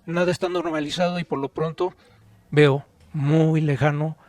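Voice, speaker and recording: A man with a Mexican accent explaining things informally on a podcast, didactic, pausing and posing rhetorical questions. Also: a little high, steady tone, a little mellow, no echo. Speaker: podcast co-host and audio expert.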